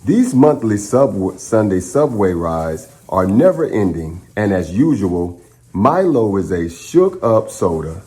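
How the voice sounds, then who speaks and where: Animated, slow-paced man reading to children. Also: lowish voice. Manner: encouraging, expressive, addresses children directly. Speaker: children's storytime host. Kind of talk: children's read-aloud and upbeat chat to young viewers.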